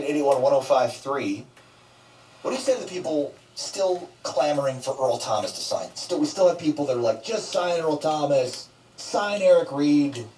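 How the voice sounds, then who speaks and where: A man's voice: on the high side, a little mellow. A man hosting sports talk radio, heard playing through a radio speaker. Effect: played through a radio speaker. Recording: radio playback picked up distantly, faint noise.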